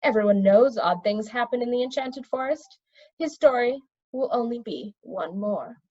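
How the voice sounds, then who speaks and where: A smooth, fluid-toned wizard ringleader played by a woman reading aloud. He is a condescending storybook villain who waves doubts away. The recording sounds dull. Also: on the high side, animated, moderate pace, a little mellow. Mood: dismissive, confident. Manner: condescending, dismissive.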